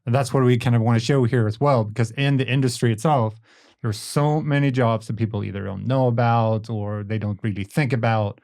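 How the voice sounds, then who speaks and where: A male podcast host with a middle-pitched voice. He talks in a loose, chatty way, his sentences often fading softly at the end. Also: steady tone, a little fast. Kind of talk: casual interview chat.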